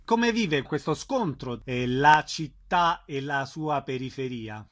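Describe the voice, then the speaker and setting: A man's voice: mid-range voice, lively intonation, slow pace. A man working as a radio interviewer, putting questions in a conversational way.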